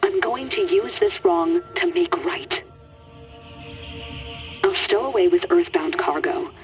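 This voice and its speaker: A woman voicing an anguished, determined female scientist and healer recording a secret log in a video game, breathy and intense. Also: very high-pitched, sing-song, takes it slowly, faint music behind, exaggerated voice. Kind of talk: dramatic video game monologue.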